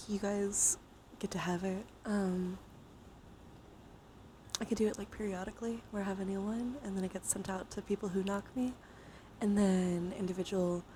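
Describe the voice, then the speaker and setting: A woman live streamer speaking softly, often in a whisper, in a gentle ASMR style mixed with casual chat. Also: mid-range voice, low-quality recording. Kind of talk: ASMR, soft chatty livestream talk. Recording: clear steady background noise.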